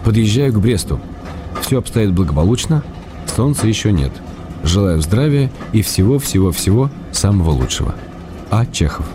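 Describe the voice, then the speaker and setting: An actor playing a wry, affectionate man writing letters to his wife, read in a calm, measured, gently ironic way. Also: fairly low pitch, background noise. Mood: fond. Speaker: letter reader. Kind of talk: radio drama letter reading.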